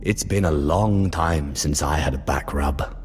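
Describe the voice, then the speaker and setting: An actor playing an arrogant, menacing Sith sorcerer in a video game, with an imperious, taunting manner. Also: male voice, rumbling low, unhurried. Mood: smug, teasing. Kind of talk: video game cutscene acting. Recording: long hall reverb over music.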